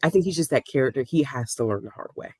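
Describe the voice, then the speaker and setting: A woman's voice: a bass voice, animated, fast talker, a little hiss or hum. A woman chatting casually about books on an online call, emphatic and sassy, with playful storytelling. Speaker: book discussion co-host. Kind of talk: casual book chat. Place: live online video call.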